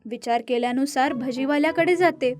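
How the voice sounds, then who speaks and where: A woman delivers scripted storytelling narration for an animated moral tale. Her voice is high, and faint music plays underneath. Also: moderate pace.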